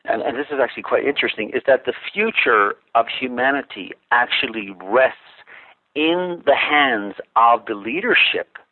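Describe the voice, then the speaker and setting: Man, talk-show guest, reflective and searching for words, mid pitch, narrow phone-line sound. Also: normal speed, dry recording. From Ireland. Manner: thoughtful, with frequent restarts and filler words. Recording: tinny dry phone line.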